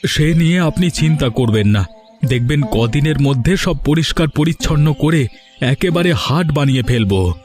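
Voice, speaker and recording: A man's voice: fairly high pitch, animated, moderate pace, darkish tone, faint music behind, lightly acted. A man voicing a humble, hopeful poor village father in a story cartoon, earnest and deferential. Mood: humble pleading. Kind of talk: Bengali moral story cartoon dubbing.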